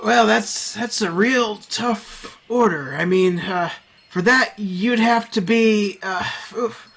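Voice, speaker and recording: A man acting as a kindly, flustered stand-in Santa in an audio drama. His voice is husky and he stammers his way along, hesitant and halting. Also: high voice, fairly bright tone, full character voice. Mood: hesitant, reassuring.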